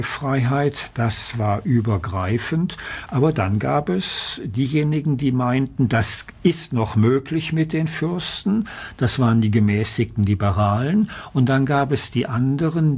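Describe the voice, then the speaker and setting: A man speaking as an expert in an unscripted documentary interview, explaining in halting short phrases. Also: medium pitch, a little mellow, slightly rough.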